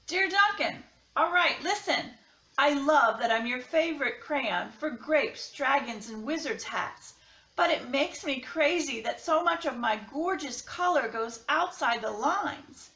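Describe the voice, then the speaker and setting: A woman reads as a fussy, vain storybook crayon who likes everything neat. The voice is bright and high, drawn out for emphasis, in an echoing room. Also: expressive, unhurried, full character voice. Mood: fussy, exasperated. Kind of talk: children's picture-book read-aloud. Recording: roomy, echoing sound.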